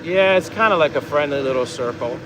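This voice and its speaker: A talkative American man hosts a relaxed livestream, drifting from one thought to the next in an easygoing ramble. His voice sits a little higher than most men's. Steady road noise from passing traffic runs underneath the whole time. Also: brisk. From United States. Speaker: vlog host reading viewer comments. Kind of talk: casual livestream chat.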